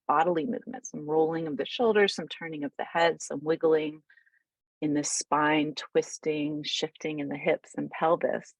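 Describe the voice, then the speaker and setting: Casting: female meditation guide, deep register with gravelly fry, soothing and informal, unhurried delivery, close dry sound. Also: no echo, rough recording. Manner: soothing, unhurried, informal, with pauses mid-thought.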